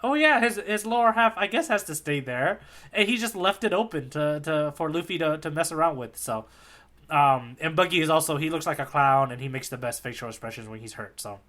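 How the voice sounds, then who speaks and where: A man's voice: fairly high pitch, lively intonation, brisk, a bit bright. A man co-hosting a podcast, chatting casually about comics in a rambling way that often repeats words. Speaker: podcast co-host. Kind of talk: casual chat about a manga.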